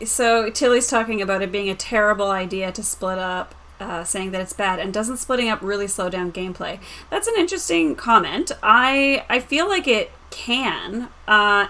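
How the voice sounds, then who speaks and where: Woman chatting informally, animated. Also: medium pitch. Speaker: panelist reading viewer comments. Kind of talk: casual chat reviewing a tabletop game.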